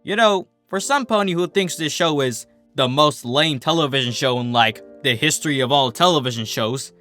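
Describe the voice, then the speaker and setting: Man as a folksy farmer mare, bright tone. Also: medium pitch, normal speed, faint music behind, lightly acted. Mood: teasing, insistent. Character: steady, teasing female farmer pony, affectionate.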